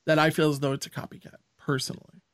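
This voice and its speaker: Brief: male podcast co-host, fairly high voice, blunt and opinionated, dry close sound. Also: level tone, quick pace, a bit bright, no echo. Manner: frank, opinionated, rambling. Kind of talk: casual chat.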